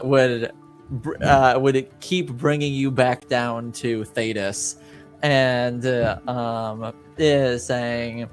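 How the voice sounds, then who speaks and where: A man improvising narration as game master of a tabletop roleplaying game, casual and halting in his storytelling. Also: mid-range voice.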